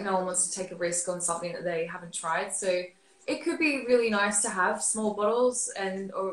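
Chatty woman on an echoey video call. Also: mid-range voice. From Australia. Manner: chatty, many fillers and restarts. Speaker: beer expert guest. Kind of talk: casual video call interview. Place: living room at home. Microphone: laptop webcam on video call. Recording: roomy webcam audio.

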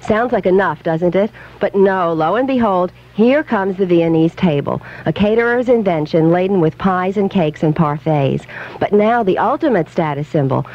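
A woman reading a scripted, humorous radio commentary as a food and leisure columnist, wry and rhetorical. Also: lowish voice. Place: radio studio. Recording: slightly dull 1970s radio recording.

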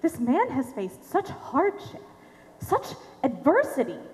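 A teenage actress on stage playing a cocky, gushing member of a rock band. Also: female voice, high-pitched, wildly swooping pitch, takes it slowly, a little mellow, a little hiss or hum, heavily acted. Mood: gushing, excited. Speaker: actor in a high school play. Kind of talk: live amateur stage acting. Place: theater stage. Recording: reverberant hall stage recording.

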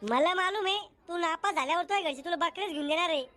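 A voice actor playing a sharp-tongued village schoolgirl. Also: very high-pitched, fast. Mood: sassy. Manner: sassy, scolding.

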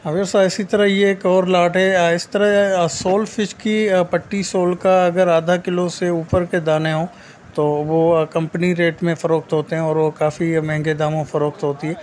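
A Pakistani man delivers an informal market update in a somewhat high voice that stays extremely flat. He pauses often, stretching out fillers as he goes. Ambient noise sits behind his voice. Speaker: host of fish market report vlog. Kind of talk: informal market report narration.

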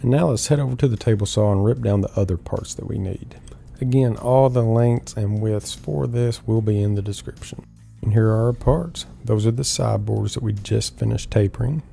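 Low, gravelly man explaining woodworking. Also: moderate pace, soft background music. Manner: calm, step-by-step explaining. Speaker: woodworking host. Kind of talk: instructional voice-over.

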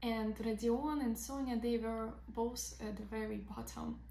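A young Russian woman, a teacher of literature, talking to camera in English with a Russian accent, halting with many fillers and simple grammar. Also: fairly high pitch, fairly even intonation, dark tone, reverberant, slight background noise. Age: young adult. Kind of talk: casual talk to camera. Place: bright bedroom by big windows, indoors.

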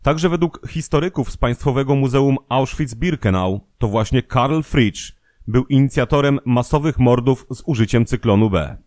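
A man's voice: medium pitch, moderate pace, a little mellow, dry recording, studio quality. A man narrating a scripted historical true-crime audio programme.

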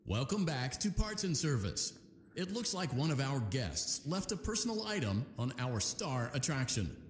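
An actor playing a calm, upbeat male voice that gives repair instructions in a video game. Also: medium pitch, normal speed, a little hiss or hum, lightly acted. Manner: calm, upbeat corporate training tone. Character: friendly male corporate repair-training voice. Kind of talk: scripted game voice-over instructions. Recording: echoey reverb with game music behind.

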